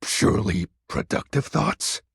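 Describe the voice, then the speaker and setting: A man voicing a melodramatic, pompous dark lord, darkness personified, in a video game, with a raspy, gravelly voice and grandiose archaic diction. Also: fairly low pitch, lively intonation, measured pace, no room sound, clean studio sound, exaggerated voice. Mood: haughty, scheming. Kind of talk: video game character voice acting.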